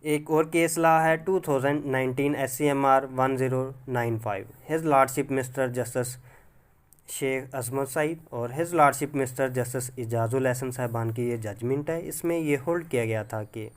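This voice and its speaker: A man with a Pakistani accent reads a scripted legal lecture as a teacher, in a formal, measured manner, mixing in English legal terms. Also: medium pitch. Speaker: law lecturer.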